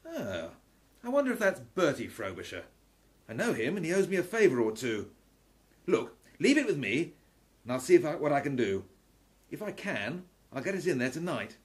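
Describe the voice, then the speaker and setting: An actor playing a thoughtful gentleman professor and amateur sleuth in an audio drama. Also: a little high, very animated, moderate pace.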